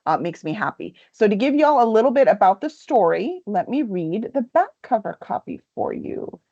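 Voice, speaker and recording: A woman's voice: medium pitch, very animated, moderate pace, creaky, warm tone. Chatty woman host on a dull lo-fi stream. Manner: chatty, upbeat. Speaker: livestream host. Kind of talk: casual chat and reading aloud.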